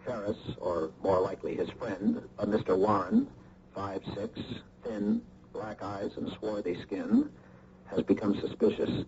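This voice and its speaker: An actor playing a cool, guarded young American husband who secretly works as a counter-spy in a radio drama. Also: male voice, lowish voice, huge pitch swings, a little slow, vocal fry, a crowd in the background, exaggerated voice. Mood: hushed, urgent. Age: young adult. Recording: dull, lightly noisy old radio recording.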